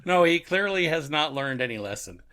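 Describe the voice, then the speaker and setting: A man's voice: fairly high pitch, big pitch swings, fairly quick, pushed and bright, clear, resonant tone. A man co-hosting a podcast, casually reflecting and thinking aloud with a chuckly manner. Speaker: podcast co-host. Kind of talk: casual book and radio drama discussion.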